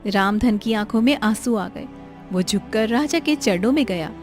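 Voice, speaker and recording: A woman's voice: fairly high pitch, expressive, moderate pace. A woman reading scripted narration for a cartoon moral story. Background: background music.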